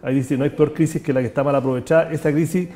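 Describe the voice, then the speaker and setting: A man with a Chilean accent hosting a talk-show conversation, talking fast with an opinionated tone and many restarts. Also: a little high, steady tone, mellow. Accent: Chilean Spanish. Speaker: host.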